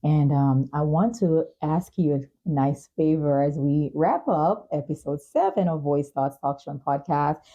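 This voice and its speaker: A woman's voice: low-pitched, animated, a little slow, hardly any pauses, mellow, lo-fi sound. A woman speaking as a talk show and podcast host, in interviews and scripted announcements. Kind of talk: interview hosting and scripted sponsor reads.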